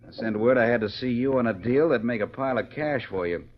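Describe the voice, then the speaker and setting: An actor playing a slippery, bullying rancher villain with blustering, rough western talk in a 1940s radio western. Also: male voice, mid-range voice, fast, close to an everyday voice. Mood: scheming. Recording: muffled vintage radio recording.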